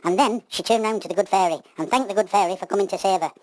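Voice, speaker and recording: A woman's voice: mid-range voice, fast, a little mellow, dry recording. A woman telling a homely children's pantomime story.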